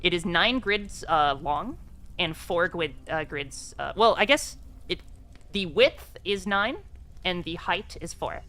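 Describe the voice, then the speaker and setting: A game master narrating a tabletop roleplaying game online in a halting, explanatory way. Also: medium pitch, expressive, sharp tone. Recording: background music behind the voice.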